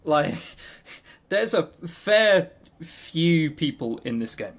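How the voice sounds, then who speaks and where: A man co-hosting a podcast in casual chat, talking informally and haltingly with repeated words. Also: fairly high pitch, very animated. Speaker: podcast co-host.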